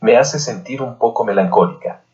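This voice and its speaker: A man voicing a young, homesick Aztec princess bride who pleads softly and deferentially, as in an audiobook. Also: mid-range voice, moderate pace, dull audio, close to an everyday voice. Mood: melancholy pleading.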